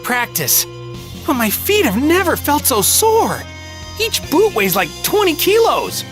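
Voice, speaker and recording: A woman's voice: high-pitched, wildly swooping pitch, measured pace, over music, heavily acted. A woman playing a cheerful, guileless young male martial arts hero in an anime game, boyish, upbeat and plain-spoken. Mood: cheerful, casual. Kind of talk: anime video game voice acting.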